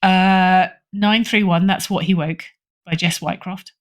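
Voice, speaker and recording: A woman's voice: fairly low pitch, fairly even intonation, measured pace. A British woman chatting casually as a podcast guest, often correcting herself and repeating phrases for emphasis. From Britain. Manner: hesitant, self-correcting, emphatic repetition. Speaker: podcast guest, horror fiction editor. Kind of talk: casual interview chat, recommending stories.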